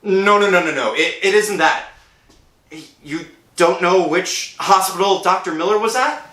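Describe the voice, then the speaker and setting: A man playing an anxious, guilt-stricken working-class husband in an old-time radio thriller, speaking in a halting, dramatic way. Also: high-pitched, bright, forceful tone. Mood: distressed. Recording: roomy echo, faint music behind.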